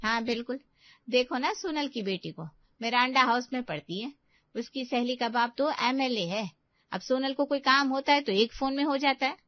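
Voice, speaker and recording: A woman's voice: sits high, normal speed, no room sound, highs cut off, close to an everyday voice. A woman playing a snobbish, suspicious middle-aged mother with a pointed, gossipy manner in a story. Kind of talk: acted story dialogue.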